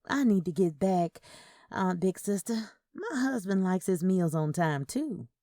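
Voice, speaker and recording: Woman as polite niece, audio story, plain-spoken, courteous, animated intonation, dry sound. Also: on the low side, unhurried, dry recording. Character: polite, curious married niece, the story's narrator.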